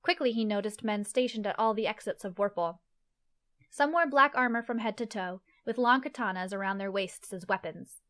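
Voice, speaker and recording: A woman's voice: mid-range voice, normal speed, fairly bright tone. A woman reading scripted fantasy story narration as the narrator of an audio play.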